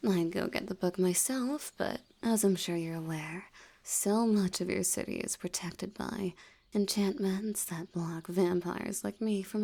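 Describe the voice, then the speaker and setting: A woman voicing a seductive, commanding vampire hypnotist in an intimate audio roleplay, soft and breathy, often near a whisper, with a teasing, coaxing manner. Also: mid-range voice. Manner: slow, coaxing, teasingly dominant.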